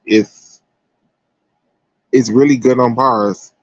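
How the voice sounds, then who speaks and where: A man's voice: mid-range voice, fairly even intonation, slow, no echo. American man chatting, dry slightly muffled sound. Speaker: livestream host and gymnastics commentator. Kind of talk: casual sports commentary to live viewers.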